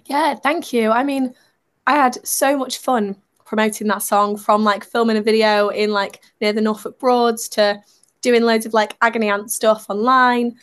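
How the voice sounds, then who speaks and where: A young woman chatting as a guest on a podcast, warm-hearted and quick to laugh at herself. Her voice sits a little high and is slightly croaky from a sore throat. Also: fairly even intonation. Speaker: singer-songwriter guest. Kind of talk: casual interview chat.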